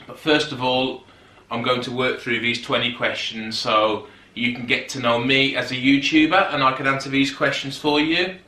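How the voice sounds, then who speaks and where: A man's voice: mid-range voice, bright, forceful tone, recorded from a distance. Chatty man in an echoey room. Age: 30s. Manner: chatty, rambling, goes off on tangents. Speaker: fitness YouTuber. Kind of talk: casual chat to camera. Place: sitting on a settee at home.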